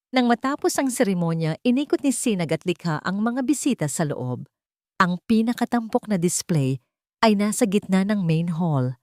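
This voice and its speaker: A woman's voice: fairly low pitch, darkish tone, no room sound, studio quality. A woman reading a scripted dramatic story as its narrator.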